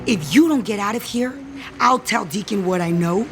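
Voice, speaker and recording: A woman's voice: mid-range voice, expressive, normal speed, over music, natural voice. An actress playing a bitter, threatening wife in an audio soap opera, cutting and commanding as she confronts her rival. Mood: menacing.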